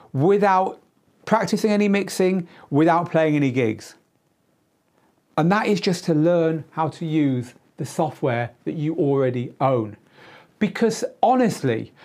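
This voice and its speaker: A man's voice: on the high side, a little mellow. A middle-aged man hosting a live Q&A, explaining things casually and emphatically in an informal, chatty way. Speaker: host and DJ tutor. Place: small indoor studio. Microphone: lapel mic.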